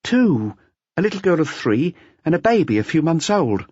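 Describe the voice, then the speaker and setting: A man's voice: a little high, sing-song, moderate pace, darkish tone, breathy edge, no echo, lo-fi sound, voice pushed up. A man voicing a wary local informant passing on gossip in a detective audiobook.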